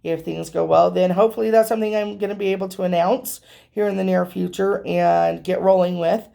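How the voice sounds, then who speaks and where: Hoarse, slightly nasal woman with a gravelly voice. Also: lowish voice, warm tone. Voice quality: a little nasal from allergies. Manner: rambling, chatty, with frequent ums. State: losing her voice, hoarse. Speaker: tarot reader and channel host. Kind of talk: casual vlog talking to viewers.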